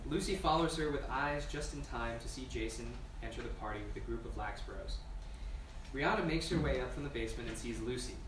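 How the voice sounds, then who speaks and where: A man's voice: medium pitch, fairly quick. A man reading scene directions as scripted narration at a screenplay table read. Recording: echoey room, distant mic, noisy.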